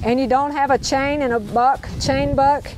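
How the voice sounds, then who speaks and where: A woman's voice: sits high, steady tone, moderate pace, background noise. Upbeat woman teaching knots outdoors. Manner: upbeat, repeats each step, checks in with "okay?". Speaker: knot-tying instructor. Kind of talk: hands-on outdoor demonstration. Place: outdoors in a park.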